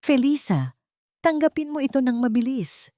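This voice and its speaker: A woman's voice: high voice, sing-song, normal speed, mellow, dry recording, clean studio sound, voice pushed up. Urgent, loyal old woman voiced by a female narrator. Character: loyal, determined elderly woman friend in secret warning.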